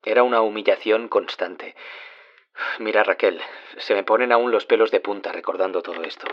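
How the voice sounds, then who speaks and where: A man's voice: fairly low pitch, normal speed, dull audio, close to an everyday voice. An actor playing a wounded young man from Spain who was bullied, confiding his story as an audio-drama interviewee with a Spain Spanish accent. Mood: haunted. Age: young adult. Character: wounded young man, former bullying victim, anguished, confiding. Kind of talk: scripted audio-drama monologue in interview form.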